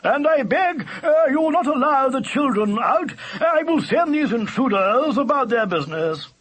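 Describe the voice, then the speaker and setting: Booming, gruff gentleman badger. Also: very animated, moderate pace, heavily acted. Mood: protective, stern. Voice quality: deep, cultured, grunting. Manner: archaic, stately speech. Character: gruff old-fashioned gentleman boar badger, cultured and commanding.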